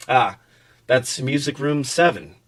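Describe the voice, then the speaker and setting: A man's voice: mid-range voice, expressive, takes it slowly. Composed, studious schoolboy, read by a man, cool and factual, for a comic story. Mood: matter-of-fact. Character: composed, bookish, matter-of-fact schoolboy in glasses.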